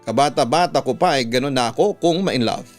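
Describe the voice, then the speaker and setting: A man's voice: mid-range voice, fairly quick. A man with a Filipino accent, a radio announcer narrating a listener's letter as a scripted story over music. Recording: music bed under the voice. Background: background music.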